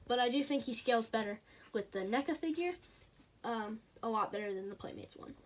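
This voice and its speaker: A teenager with a childlike voice, reviewing toy figures in a casual, hesitant way with frequent pauses and fillers. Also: expressive. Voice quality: childlike, high voice.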